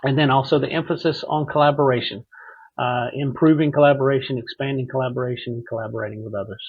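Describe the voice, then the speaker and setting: A male interview host with a husky voice at a middling pitch. He talks conversationally, halting at times and encouraging his guest. The recording sounds a little muffled. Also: fairly even intonation. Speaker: podcast host.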